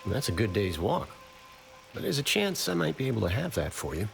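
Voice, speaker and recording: An actor playing a roguish older American adventurer in a video game, with a folksy, teasing manner and wry charm. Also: male voice, lowish voice, big pitch swings, fairly quick, creaky, soft background music, heavily acted. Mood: persuasive, wry. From United States. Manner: folksy, teasing, drawling wisecracks. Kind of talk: video game cutscene acting.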